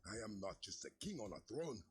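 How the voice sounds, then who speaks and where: A man's voice: lowish voice, very animated, a little fast, slightly rough, exaggerated voice. An actor playing a proud, resolute warrior king in a game cutscene, solemn and commanding. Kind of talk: cinematic game cutscene acting.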